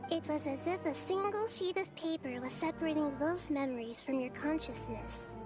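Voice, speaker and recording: Calm, wise little girl deity played by a woman. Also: high-pitched, over music, close to an everyday voice. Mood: calm, knowing. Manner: composed, knowing, measured. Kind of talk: video game voice acting.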